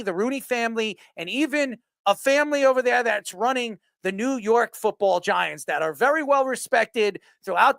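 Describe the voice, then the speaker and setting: Creaky-voiced, emphatic sports talk host. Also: medium pitch, a bit bright, dry recording. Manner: emphatic, declamatory. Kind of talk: opinionated sports commentary.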